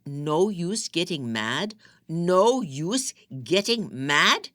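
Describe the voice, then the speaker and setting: A woman's voice: very deep voice, lively intonation, measured pace, dry recording, clean studio sound, heavily acted. A woman reading an audiobook, voicing an angry, controlling male captor who speaks harshly. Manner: harsh, demanding.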